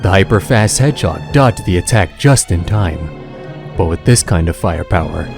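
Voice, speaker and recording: A man narrating a scripted story in a measured, suspenseful, dramatic style. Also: low voice, expressive, creaky. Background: loud music.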